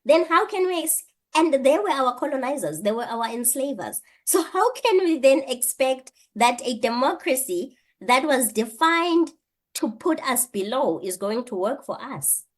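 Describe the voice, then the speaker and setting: A woman's voice: high voice, big pitch swings, a little slow. A woman, answering an interview question earnestly, thinking aloud with rhetorical questions and frequent restarts. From South Africa. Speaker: guest, PhD candidate and lecturer.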